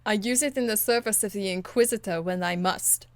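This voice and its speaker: A woman's voice: medium pitch, fairly quick, dry recording, close to an everyday voice. A woman voicing a blunt, stern and dutiful warrior in a video game, speaking in a curt, earnest, formal way. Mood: dry, blunt. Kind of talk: video game dialogue acting.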